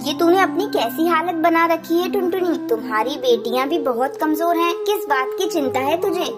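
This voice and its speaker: A woman's voice: extremely high pitch, animated, moderate pace, loud music behind the voice. A woman voicing a small, earnest, caring female bird in a cartoon. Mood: concerned. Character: concerned small female bird, friend or young daughter.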